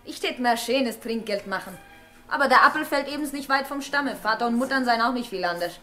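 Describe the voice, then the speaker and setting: Bossy middle-aged rustic wife, high bright voice, scolding, 1960s radio play, music behind. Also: female voice, animated, fairly quick. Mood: disdainful. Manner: scolding, earthy rustic talk. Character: sharp-tongued middle-aged carter's wife, bossy and thrifty. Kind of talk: mid-century German radio drama acting.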